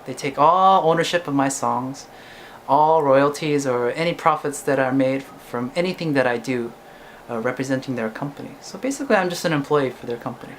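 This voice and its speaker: A man's voice: mid-range voice, slight background noise. Chatty young man, talking to camera. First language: English. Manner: chatty, ends points with "right?". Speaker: singer-songwriter vlogger. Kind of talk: casual talk to camera.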